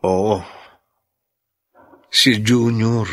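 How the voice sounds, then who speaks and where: An actor playing a caring, thoughtful husband and father in a Filipino radio drama, with a gentle, inquisitive manner. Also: lowish voice, steady tone, drawn-out words, no room sound, studio quality.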